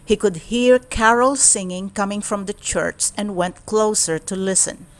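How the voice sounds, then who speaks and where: A woman storyteller reading a children's storybook aloud in a deliberate, expressive way. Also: mid-range voice.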